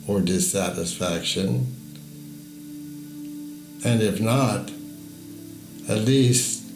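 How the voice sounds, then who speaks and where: Slow, echoey man guiding a meditation. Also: lowish voice, darkish tone. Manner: slow, measured, deliberate pauses between phrases. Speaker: guided meditation narrator. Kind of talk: guided meditation storytelling. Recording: echoey voice over music. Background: music.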